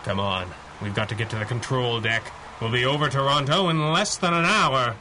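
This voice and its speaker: An actor playing a confident 1930s masked superhero, brisk, deductive and dryly witty, in radio adventure style. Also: male voice, mid-range voice, natural voice. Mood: urgent, reasoning. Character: confident masked male superhero, 1930s pulp mystery man.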